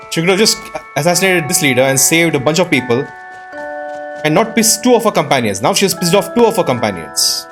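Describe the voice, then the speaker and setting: A man's voice: a little high, big pitch swings, normal speed, long pauses. A man hosting a casual let's play, chatty and thinking aloud as he goes. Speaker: gaming video host. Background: soft mallet game music.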